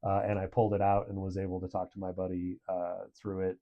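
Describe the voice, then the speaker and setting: A man's voice: low-pitched, completely flat delivery, fast talker, dull audio. A man giving a casual product review in a conversational, approving way.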